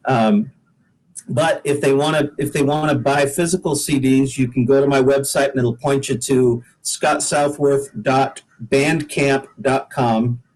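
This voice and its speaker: Mid-pitched man chatting casually. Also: fairly even intonation, measured pace, darkish tone, poor audio. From US Pacific Northwest. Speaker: singer-songwriter guest. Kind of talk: casual interview chat.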